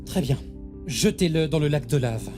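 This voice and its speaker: Un homme qui joue, dans une fiction audio, un capitaine de station spatiale autoritaire et dramatique, prompt à condamner. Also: male voice, a little high, expressive, moderate pace, music in the background, natural voice. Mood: accusing, ruthless. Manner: commanding, theatrical. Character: authoritative, dramatic space-station captain, quick to condemn.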